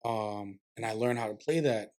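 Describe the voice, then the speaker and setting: Laid-back low-voiced young man. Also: moderate pace, darkish tone. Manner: laid-back, says "bro" and "yo". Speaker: podcast guest, musician and vocal engineer. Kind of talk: casual conversational interview. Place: warm studio under lights.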